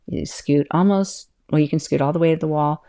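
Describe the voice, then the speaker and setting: A middle-aged woman and yoga teacher hosting her own podcast in a chatty, self-interrupting way, laughing often, with a calm voice that can turn loud. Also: low voice, fairly quick. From California. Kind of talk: casual solo podcast talk.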